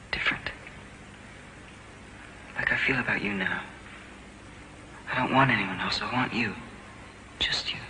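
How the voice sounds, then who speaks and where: An actor plays a lonely, yearning man who broods in halting, hushed phrases. His lowish voice has a very sharp, bright edge, over faint noise in a dramatic scene. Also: low-quality recording.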